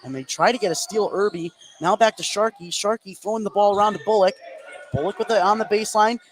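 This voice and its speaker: A man's voice: high-pitched, fast. An American man doing live radio basketball play-by-play as the game's commentator. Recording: noisy gym ambience behind commentary.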